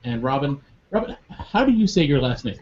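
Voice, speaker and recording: A man's voice: mid-range voice, very animated, brisk, over a noisy crowd. A man hosting a live online show, chatting casually with many ums and uhs. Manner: rambling with many fillers. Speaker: podcast host. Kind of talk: casual live webcast chat. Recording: dull lo-fi webcast audio with faint noise.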